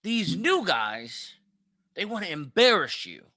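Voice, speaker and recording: An American man with a high, very forceful voice hosts a solo commentary show. His pitch moves around a lot as he talks. He is blunt, slangy and profane, leaning on repetition and rhetorical questions. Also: big pitch swings, slow pace. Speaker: podcast host. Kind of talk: opinionated solo commentary on celebrity news.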